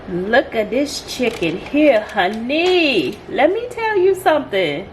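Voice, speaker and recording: A woman hosting a casual home cooking vlog, her voice stuffy and congested, chatting in an unhurried way with lots of fillers. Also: a little high, very animated, slight background noise. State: stuffy, congested voice after a sore throat. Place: home kitchen.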